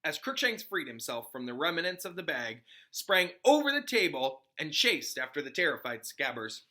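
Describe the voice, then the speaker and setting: A man reading a children's book aloud as a teacher, with expressive narration aimed at young listeners. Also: a little high, normal speed. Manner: explains hard words in simpler terms.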